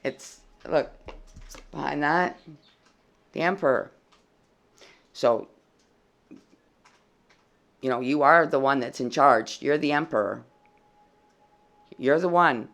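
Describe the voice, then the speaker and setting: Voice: mid-range voice, slow, gravelly, long pauses, a bit bright. A tarot reader giving a casual, blunt reading with a dry, froggy voice and some swearing. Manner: blunt and plain-spoken, swears freely.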